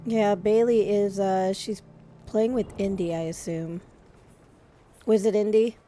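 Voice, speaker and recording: Slightly hoarse chatty woman streamer. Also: medium pitch, steady tone, unhurried, dark tone, soft background music. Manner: chatty, effusive, with frequent restarts. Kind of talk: casual live-stream chat.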